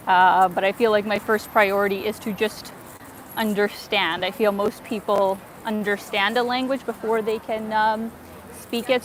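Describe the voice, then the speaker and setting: Woman from north america chatting to her stream on a phone, walking outdoors in a park, mid pitch, thanks everyone a lot. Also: slight background noise, poor audio. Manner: chatty, thanking viewers warmly, fillers and soft laughs. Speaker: travel vlogger livestream host. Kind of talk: casual livestream chat while walking. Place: outdoors in a city square and park. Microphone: phone held while walking.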